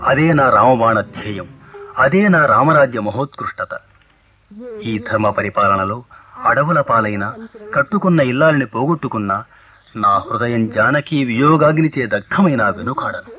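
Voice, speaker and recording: Noble, declamatory warrior prince, bright voice. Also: male voice, medium pitch, expressive, normal speed. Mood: lofty, resolute. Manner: declamatory, lofty, formal classical diction.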